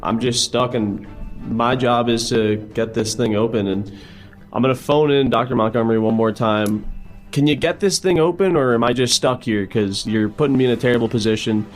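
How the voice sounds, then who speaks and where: A man's voice: medium pitch, moderate pace, soft background music. A man talking casually as a player in a tabletop game.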